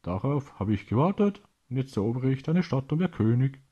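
A man's voice: mid-range voice, sing-song, brisk, dark tone, breathy edge, dull audio, voice pushed up. A man voicing a crazed, gloating pirate usurper in a theatrical way for a children's story. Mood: gloating, triumphant.

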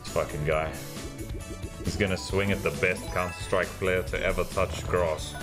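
Young male host, deadpan monotone, very low voice, slot music under him. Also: normal speed. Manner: dry, monotone, sweary. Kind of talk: casual banter and slot commentary. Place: indoor streaming studio. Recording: slot game music behind.

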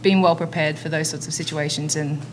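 Young woman pitcher, lowish monotone, plain matter-of-fact answers. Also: brisk, background noise. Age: young adult. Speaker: college softball pitcher. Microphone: table mic.

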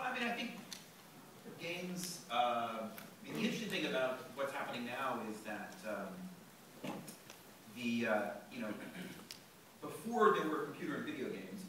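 A man's voice: mid-range voice, bright, forceful tone, slight background noise. A man on a panel answering thoughtfully, with many fillers and restarts, recorded from the audience of a large lecture hall. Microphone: phone recording from the audience. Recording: echoey hall from far off.

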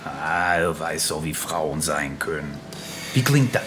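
A man's voice: rumbling low, expressive, measured pace, noisy background. A man playing a chummy, cynical crony in a crime drama, casual and persuasive. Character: chummy, cynical male crony over a meal. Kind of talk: naturalistic audio drama dialogue.

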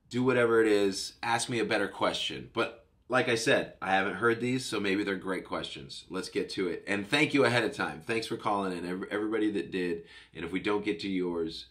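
Man, low voice, stuffy nose, casual rambling podcast host, studio mic. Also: a bit bright. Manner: rambling, upbeat, self-correcting. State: slightly stuffed up from a cold. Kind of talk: casual solo podcast chat. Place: home studio room. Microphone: studio mic on boom arm, headphones.

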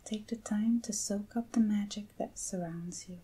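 A young adult woman doing soft-spoken ASMR in a breathy, whispery voice, gentle and unhurried, close to the microphone. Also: mid-range voice, fairly even intonation, background noise. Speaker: ASMR creator unboxing items. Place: indoors at a table with a backdrop.

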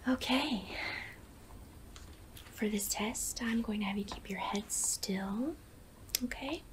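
A woman in her thirties whispering in an ASMR eye exam roleplay, hushed and breathy, gently guiding close to the microphone. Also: mid-range voice, background noise. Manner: slow, hushed, gently instructing. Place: small indoor studio with dark backdrop.

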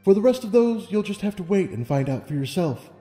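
A man's voice: high voice, sing-song, brisk, mellow, voice pushed up. A man voicing a wise, serene female pony ruler in a storybook reading, with solemn, formal speech. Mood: cautioning. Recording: long reverb, music underneath.

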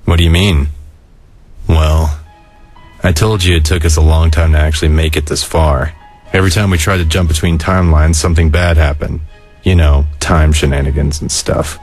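A man voicing a laid-back, weary and caring skeleton older brother in an animated comic dub, laconic and casual. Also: normal speed, soft background music. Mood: relaxed explaining. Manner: laconic, casual, low-key.

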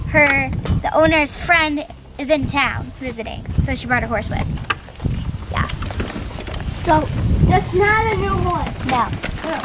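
A young woman hosting a vlog in casual, chatty talk, recorded outdoors on a camera. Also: high voice. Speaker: vlog host. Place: outdoors in a farm paddock. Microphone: handheld camera at a short distance. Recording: noisy outdoor camcorder audio.

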